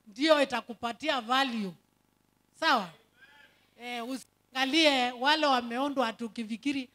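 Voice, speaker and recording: Kenyan woman preacher, high voice, fervent prayer rhythm, code-switching sermon in church. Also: fairly bright tone. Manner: switches between Swahili and English, fervent prayer cadence.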